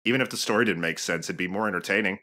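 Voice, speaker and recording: A man co-hosting a let's play with lively, joking casual commentary. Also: low voice, rapid-fire. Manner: animated, joking.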